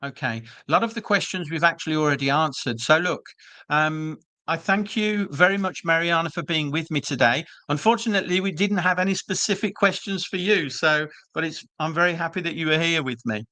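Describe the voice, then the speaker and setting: A man's voice: on the high side, fairly quick, pushed and bright, dry recording. A British man presenting an online webinar for a business school, chatty and reassuring with frequent ums and uhs, speaking over a video call. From Britain.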